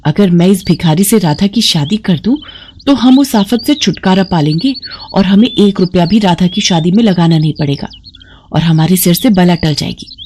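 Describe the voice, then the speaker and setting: A woman's voice: lowish voice, normal speed, a little mellow, slight background noise, close to an everyday voice. A woman voicing a scheming, manipulative sister-in-law in a cartoon story, sly and persuasive. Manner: sly, persuasive, put-upon. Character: scheming, manipulative sister-in-law who wheedles her husband.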